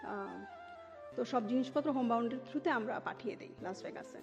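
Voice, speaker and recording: A woman with a Bangladeshi accent recounts her own life as an interview guest. Her voice sits fairly high and she slips English words into her sentences. The recording is a bit muffled, with music clearly playing underneath. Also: normal speed. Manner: mixes English words and phrases into talk. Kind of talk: personal storytelling in an interview.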